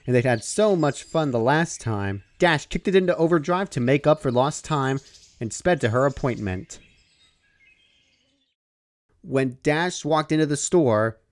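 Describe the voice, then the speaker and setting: A man narrates a story from a script. His voice is medium in pitch and moves with very lively, expressive intonation. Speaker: fan fiction narrator.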